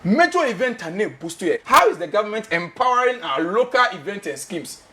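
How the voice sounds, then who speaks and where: Passionate, high-voiced Ghanaian man hosting. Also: sing-song, a little fast, sharp tone. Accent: Ghanaian English. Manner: passionate, emphatic, repeats questions, mixes in Twi. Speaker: host. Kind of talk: animated studio talk show discussion.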